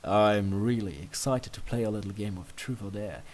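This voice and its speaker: Low-pitched, bashful pony protector played by a man. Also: steady tone, normal speed, lightly acted. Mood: earnest, playful. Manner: earnest, gently bantering.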